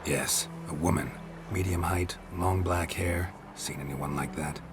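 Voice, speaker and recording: Gruff stoic monster slayer from a game, super deep gravelly voice, deadpan, music playing behind. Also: male voice, unhurried. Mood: grim, matter-of-fact. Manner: terse, deadpan. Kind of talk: video game voice acting.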